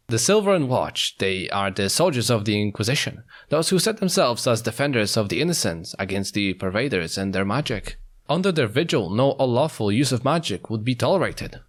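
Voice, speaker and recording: A man reading a visual novel aloud in an expressive narrating style. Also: medium pitch. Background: guitar music.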